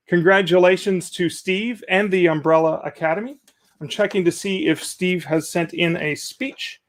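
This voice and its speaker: A man hosting a live-streamed awards ceremony over a video call, reading nominees in a jokey, upbeat way. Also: sits high. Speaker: awards host.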